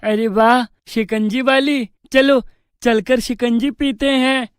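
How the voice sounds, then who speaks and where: Woman playing a clear-voiced friendly village boy. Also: fairly high pitch, fairly even intonation, takes it slowly, no room sound, studio quality. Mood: cheerful, friendly.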